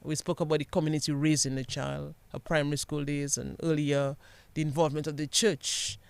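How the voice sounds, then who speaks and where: A man hosts a radio interview in a smooth, resonant voice that sits a little high. The sound is close and dry, and he speaks in an encouraging way as he guides the talk. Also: level tone, brisk, no echo. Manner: encouraging, reads listener comments. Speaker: radio host. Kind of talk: radio talk show hosting.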